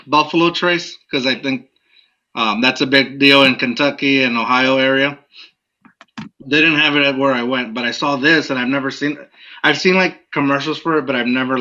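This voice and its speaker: A man's voice: medium pitch, sharp tone, dull audio. A man co-hosting a podcast, rambling easily through a casual drinking chat. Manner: rambling, easygoing, restarts often. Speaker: podcast co-host.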